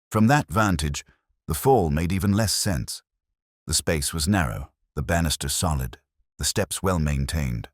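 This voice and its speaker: Weary detective narrator, played by a man: very deep, expressive delivery, dry close sound, measured and wry, audio drama. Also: husky, dry recording, heavily acted. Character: world-weary middle-aged male detective narrating. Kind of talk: first-person scripted audio drama narration.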